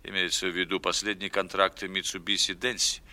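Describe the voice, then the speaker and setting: An actor playing a cool, businesslike superior in a radio drama, formal, courteous and commanding. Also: male voice, sits low, little pitch movement, fairly quick, sharp tone.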